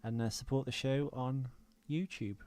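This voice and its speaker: A man's voice: medium pitch, fairly even intonation, moderate pace, a loud crowd. A man hosting a casual film podcast, talking in a rambling, hesitant, joking way. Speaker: podcast host. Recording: music behind the voice.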